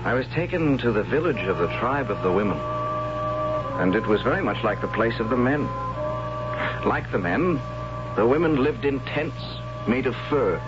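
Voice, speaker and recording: A man playing a soft-spoken, earnest captive who also narrates, in a 1970s radio drama; dull old broadcast sound with music behind. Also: medium pitch, lively intonation, normal speed, close to an everyday voice. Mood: narrating. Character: captured young man, gentle and wondering.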